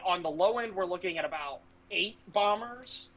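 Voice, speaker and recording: A man's voice: high voice, expressive, normal speed, a bit bright, a little hiss or hum, low-quality recording. A man giving casual political commentary as a podcast host, speaking in a brash, blunt, profanity-laced way.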